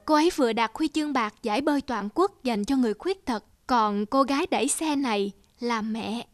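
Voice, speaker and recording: A gentle cartoon mother, voiced by a woman, with a high voice. She speaks patiently and encouragingly, like one telling a story to her child, over faint music. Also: fairly quick, close to an everyday voice. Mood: gentle, reassuring. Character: gentle, encouraging mother of a young pianist daughter. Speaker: mother character. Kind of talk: animated fairy-tale dubbing.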